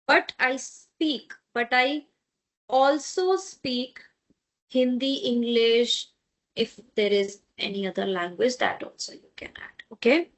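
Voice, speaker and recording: A woman whose first language is Tamil, teaching a beginner German class online. She repeats words slowly and keeps checking in with her students. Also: on the high side.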